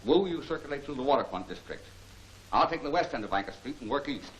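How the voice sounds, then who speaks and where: An actor playing a resolute, authoritative crime-fighting leader in a 1940s film serial. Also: male voice, mid-range voice, animated, close to an everyday voice. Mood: determined. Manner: brisk, authoritative. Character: brisk, resolute crime-fighting hero leading his men. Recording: noisy 1940 film serial soundtrack.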